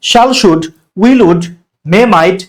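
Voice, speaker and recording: A man's voice: sits high, very slow. A man with a Bangladeshi Bengali accent teaching an English grammar lesson, explaining step by step and asking and answering his own questions. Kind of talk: classroom-style grammar lesson.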